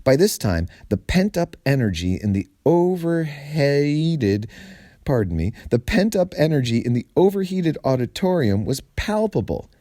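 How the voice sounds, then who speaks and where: A man reading a humorous story aloud in an expressive, theatrical way with deliberate phrasing. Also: fairly high pitch, dry recording, clean studio sound.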